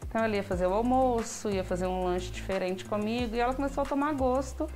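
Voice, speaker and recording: A woman's voice: on the high side, quick pace, darkish tone, roomy sound. A woman in her thirties, a mother being interviewed at home, explaining things earnestly and step by step into a reporter's handheld mic. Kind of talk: casual interview answers. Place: home kitchen indoors.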